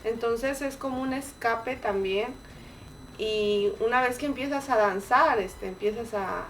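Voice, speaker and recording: A woman's voice: a little high, normal speed, fairly bright tone. A woman giving an interview testimony as a member of a dance circle, recorded from a distance. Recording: distant mic, ambient background noise.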